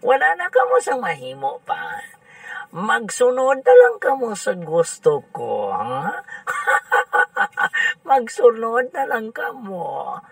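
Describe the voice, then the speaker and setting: Scheming female crime boss, acted by a man, Western Visayan Filipino accent, audio drama, very slow, taunting, wildly varied pitch. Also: wildly swooping pitch, creaky, fairly bright tone, dry recording, faint music behind, heavily acted. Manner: theatrical, taunting, cackling. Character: scheming, gloating female villain boss, domineering.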